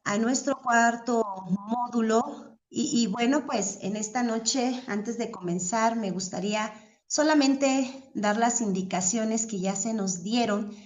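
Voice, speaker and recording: A woman with a fairly high voice leads a church class over a video call. She is courteous and devout, addressing her students and drawing them in. The audio is somewhat dull and lo-fi. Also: takes it slowly. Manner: courteous, devout, encouraging participation. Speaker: teacher leading an online church class.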